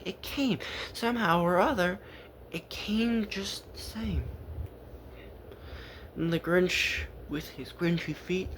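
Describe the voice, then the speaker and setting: A man reading a children's story aloud in a halting way, stumbling over the text now and then. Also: a little high, slight background noise, poor audio.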